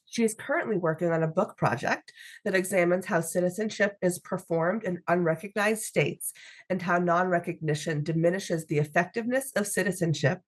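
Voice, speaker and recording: A woman hosting a podcast, reading a guest's introduction in a measured, formal way. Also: lowish voice. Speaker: podcast host.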